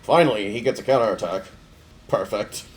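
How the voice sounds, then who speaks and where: Young male gamer, stuffy with a cold, low-pitched, offhand play-by-play, faint hum behind. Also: brisk. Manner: relaxed, offhand, thinking aloud. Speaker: mobile game player. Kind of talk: casual gameplay commentary.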